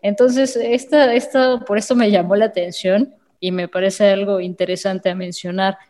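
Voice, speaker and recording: A woman host explaining in a measured way, then handing over to the guests. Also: mid-range voice, steady tone, mellow. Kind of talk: casual panel chat. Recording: reverberant room.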